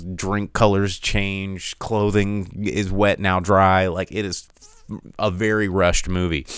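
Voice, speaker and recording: A man's voice: deep voice, a bit bright, clean tone, dry recording, clean studio sound. Rambling man hosting a podcast. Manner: rambling, digressive, many restarts; reads out viewer comments. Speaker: podcast host. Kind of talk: casual live-stream chat.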